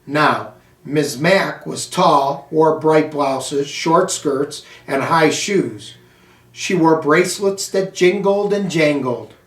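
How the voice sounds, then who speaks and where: A man's voice: a little high, fairly bright tone. American man reading in an echoey room. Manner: slow, deliberate, phrase-by-phrase delivery. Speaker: school superintendent reading aloud. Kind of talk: children's storybook read-aloud. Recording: echoey room, distant microphone.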